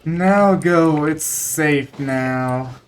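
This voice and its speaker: A man's voice: a little high, very slow, a little hiss or hum. A man giving casual gameplay commentary, thinking aloud hesitantly and repeating himself. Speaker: gaming streamer.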